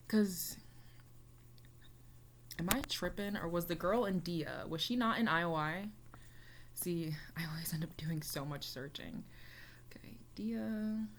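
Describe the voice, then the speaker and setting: A woman's voice: fairly low pitch, a bit bright, slight background noise. A young woman chatting casually as she reacts to a music video, rambling with lots of fillers. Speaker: reaction YouTuber.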